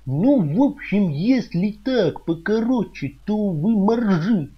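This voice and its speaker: A man's voice: high voice, expressive, slow pace, slightly rough, muffled recording, exaggerated voice. A man playing a fussy, pompous, flustered radio host who stammers and hesitates in a comedy sketch. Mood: polite, probing.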